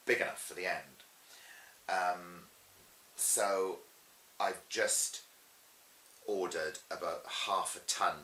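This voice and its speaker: A middle-aged man with a Welsh accent, chatting casually as an interviewed stage performer and telling stories in a lively, chatty way. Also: low-pitched. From Swansea, Wales. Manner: chatty, animated retelling with acted-out quotes. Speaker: pantomime dame and cabaret performer being interviewed. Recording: roomy echo with faint hiss.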